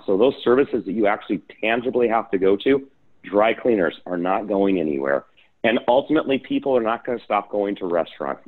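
A man, an investor and developer, talking through his views in a video-call panel discussion, restarting his sentences as he goes. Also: lowish voice. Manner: conversational, restarts mid-sentence. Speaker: real estate investor and developer guest. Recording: phone-like video call audio.

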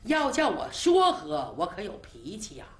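A woman voicing a cheeky, bossy little boy who appoints himself peacemaker, talking fast and boastfully. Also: mid-range voice, wildly swooping pitch. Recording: roomy echo.

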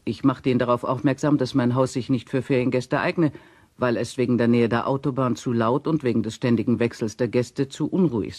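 Male actor reading hotel owner's statement for radio play: medium pitch, mellow, formal, matter-of-fact. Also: dry recording, lightly acted. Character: resolute middle-aged female hotel owner's quoted testimony.

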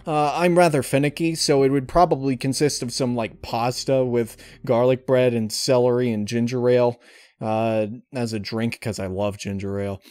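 A man giving casual interview answers in a halting, wordy way, often amused. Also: medium pitch, fairly even intonation, darkish tone, dry recording. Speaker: interviewee, audio story reader. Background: music behind the voice.

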